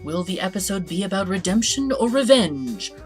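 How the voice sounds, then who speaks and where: A woman's voice: medium pitch, very animated, normal speed. A woman gives casual let's play commentary, playful and giggly, reading game text aloud with expression. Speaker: host of a gaming channel. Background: background game music.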